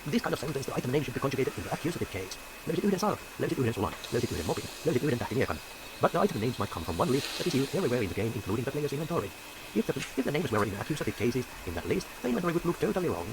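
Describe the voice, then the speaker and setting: Man, Finnish accent, tutorial narration, fast talker, lively pitch, steady hiss behind. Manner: careful, explanatory, stumbles on words. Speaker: programmer presenting a video.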